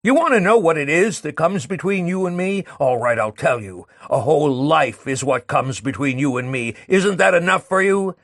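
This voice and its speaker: A man's voice: fairly high pitch, lively intonation, moderate pace, hardly any pauses, a bit bright, no echo, studio quality. A man playing a bitter, ranting man. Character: bitter, disgusted man, contemptuous of love.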